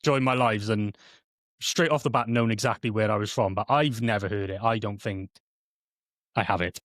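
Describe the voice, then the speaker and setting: A man's voice: fairly low pitch, moderate pace, a bit bright, no room sound. Guy from south wales chatting on a podcast, laughs a lot, trips over his words a bit, dry close sound. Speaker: guest, streamer. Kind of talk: casual podcast chat.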